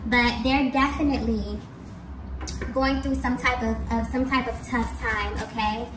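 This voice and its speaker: A woman giving an intuitive tarot reading straight to the listener, earnest, in halting phrases with frequent check-ins. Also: fairly high pitch, steady tone. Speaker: tarot reader. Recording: roomy, distant mic, clear background noise.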